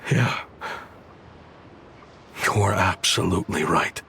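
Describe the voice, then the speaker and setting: A man playing a weary, remorseful protector of children in a video game, speaking in a halting, earnest way. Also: low voice, creaky, pushed and bright, slight background noise, exaggerated voice. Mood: remorseful, moved. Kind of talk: dramatic video game voice acting.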